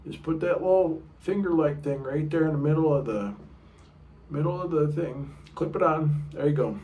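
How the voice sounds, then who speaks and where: A middle-aged man with a low baritone voice teaching a casual guitar lesson, chatty and rambling, sometimes singing short notes. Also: moderate pace, mellow. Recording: room mic a bit far off, guitar playing.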